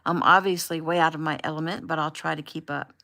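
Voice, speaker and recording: A woman's voice: on the low side, fairly even intonation, brisk, a bit bright. A woman voicing an earnest friendly young man. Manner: earnest, encouraging, easygoing.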